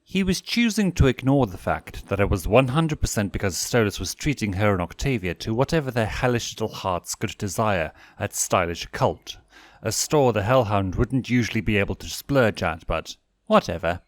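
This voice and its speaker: A man narrates fiction in a fairly low voice with very lively, swinging intonation. He reads in an audiobook style, and the sound is dry and close. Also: moderate pace, dry recording. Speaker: fanfiction narrator.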